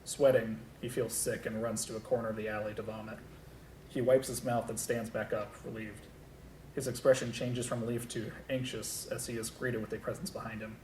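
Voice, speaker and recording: A man's voice: level tone, fairly quick, darkish tone. A man reading scripted stage directions aloud as narrator at a table read. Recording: echoey room with low rumble.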